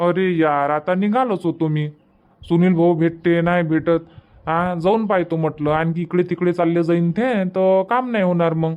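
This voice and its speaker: A man's voice: on the high side, moderate pace, clear, resonant tone, lo-fi sound, natural voice. A man voicing an easygoing, stubborn village husband in a cartoon comedy, chatty and colloquial, with a rural Varhadi Marathi accent. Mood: explaining, mildly insistent. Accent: rural Varhadi Marathi from Vidarbha. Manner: casual, colloquial, explaining at length.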